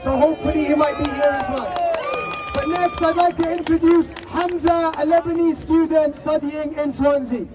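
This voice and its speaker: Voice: high voice, steady tone, normal speed, noisy background. A rally organizer making practical announcements and introducing the next speaker at an outdoor protest. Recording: distant outdoor mic with crowd.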